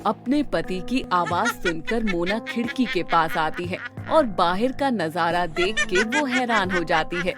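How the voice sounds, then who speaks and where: A woman's voice: lowish voice, expressive, unhurried, few pauses, music in the background. A woman narrator reading a scripted horror story for a cartoon.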